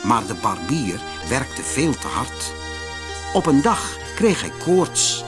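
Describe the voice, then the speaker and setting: A man narrating a fairy tale for a children's audiobook in an expressive, measured storytelling manner. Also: medium pitch, music in the background.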